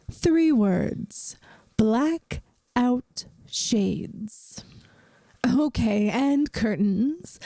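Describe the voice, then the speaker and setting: A woman plays a kindly vampire schoolteacher with a dry wit, in an intimate ASMR roleplay. Her female voice sits a little high yet stays mellow. She speaks slowly and reassuringly, straight to the listener. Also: dry recording, heavily acted. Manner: gentle, soothing, speaks directly to the listener. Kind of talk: ASMR audio roleplay, scripted.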